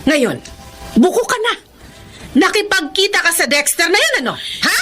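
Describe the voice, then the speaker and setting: A woman's voice: extremely high pitch, very animated, moderate pace, music in the background. An actress playing a strict, protective middle-aged Filipino mother in an emphatic, reproachful radio drama style. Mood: angry, scolding. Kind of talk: Tagalog radio drama acting.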